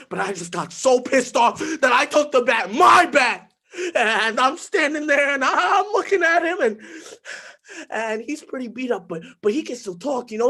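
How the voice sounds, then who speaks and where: A jittery teenage boy, all stammers and restarts, his words tumbling over each other, as a man acts him out. The voice sits high and bright, edgy and volatile from one phrase to the next. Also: exaggerated voice. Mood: hushed. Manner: stammering, repeats words, filler-heavy. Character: nervous seventeen-year-old boy, rambling and volatile. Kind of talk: dramatic monologue.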